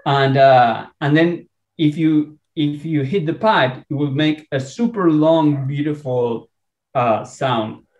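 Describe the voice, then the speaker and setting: A man from Argentina with an Argentine Spanish accent, a researcher talking in a podcast interview, enthusiastic and halting with many restarts. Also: on the high side, echoey room, highs cut off. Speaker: researcher and guest.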